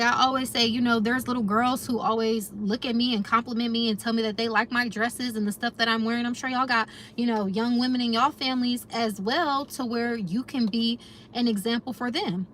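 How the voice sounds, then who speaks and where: Chatty American woman, higher voice. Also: fairly bright tone, slight background noise. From United States. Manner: chatty, addresses viewers as y'all, reflective. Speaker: lifestyle vlogger. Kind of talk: casual chat to viewers.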